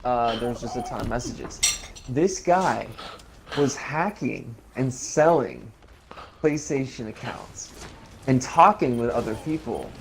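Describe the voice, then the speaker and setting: A man telling an animated, casual story in slangy, sweary language, quoting people as he goes while he games. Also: medium pitch, measured pace, gravelly, background noise. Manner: slangy, profane, quotes people in dialogue. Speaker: streamer telling a personal story while gaming. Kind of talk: casual chat, animated anecdote. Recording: music behind the voice. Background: music, video game play.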